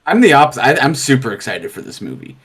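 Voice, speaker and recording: A man's voice: medium pitch, wildly swooping pitch, fast talker, fairly bright tone, breathy edge. A man co-hosting a movie podcast, giving his opinions in a casual, conversational way. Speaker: podcast co-host.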